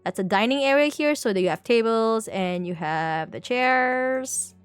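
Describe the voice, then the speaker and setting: A woman's voice: medium pitch, smooth, no room sound. A woman livestreamer explaining things casually to viewers in a rambling, playful way, repeating words and correcting herself as she goes. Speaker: livestream host.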